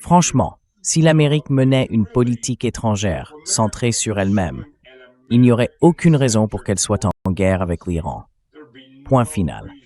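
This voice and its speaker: Low-pitched synthetic male narrator. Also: sing-song, moderate pace, warm tone. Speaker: military commentator. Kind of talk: synthetic voice-over reading a translated interview.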